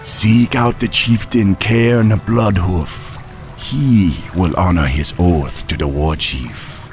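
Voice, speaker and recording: An actor voicing a loyal, easygoing troll ally in a video game, encouraging. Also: male voice, sits low, takes it slowly, faint music behind. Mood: reassuring. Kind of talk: video game cinematic acting.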